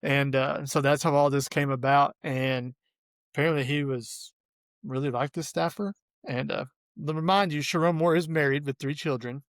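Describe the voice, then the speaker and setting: A man with a cold, sounding hoarse, hosting a casual sports podcast and laughing often. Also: a little high, steady tone, normal speed, no echo, clean studio sound. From Arkansas. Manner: laid-back, laughs often. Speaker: podcast host.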